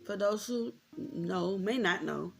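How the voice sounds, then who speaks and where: A woman's voice: medium pitch, slow pace, gravelly, faint music behind. An American woman in her thirties talking candidly and informally to her viewers, reflective and repeating points for emphasis. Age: 30s. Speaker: vlogger. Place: indoors, room with a closet.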